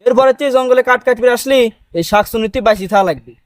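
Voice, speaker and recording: A man's voice: very high-pitched, quick pace, no room sound, clean studio sound. A man playing a poor, cautious woodcutter, earnest and rustic. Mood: anxious resigned. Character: poor village woodcutter, anxious, cautious, pious.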